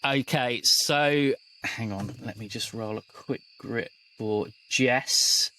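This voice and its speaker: A man with a medium-pitched voice works as game master. He narrates slowly and casually, feeling his way through an improvised tabletop story with halting phrases. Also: bright, forceful tone, poor audio.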